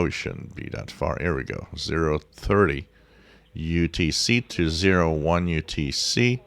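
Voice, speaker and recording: A man with a very low, gravelly voice gives an informal tutorial. He takes his time and thinks aloud as he explains, like a hobbyist showing how he does things. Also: no echo. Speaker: shortwave radio hobbyist presenting a tutorial. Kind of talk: casual explanatory screen-share tutorial.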